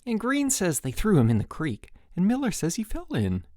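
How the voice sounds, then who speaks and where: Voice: medium pitch, wildly swooping pitch, moderate pace, darkish tone, no echo, studio quality, soft background music, pitched above the performer's own voice. A narrator voicing an exasperated, sarcastic young male camp counselor in a storybook reading, worked up and growly.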